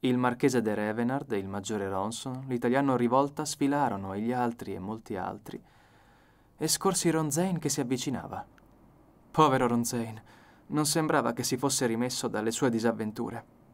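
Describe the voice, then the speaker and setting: A man's voice: mid-range voice, normal speed. A man reading an audiobook as narrator, in a dramatic scripted style.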